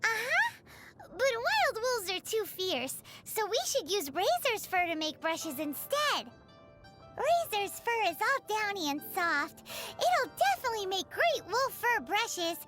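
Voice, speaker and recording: An extremely high, squeaky little fairy chirps through video game dialogue. The childlike companion is cheeky and emphatic, with very lively pitch swings, over clearly audible music. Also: female voice, measured pace, heavily acted. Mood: eager. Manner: refers to self in third person, emphatic.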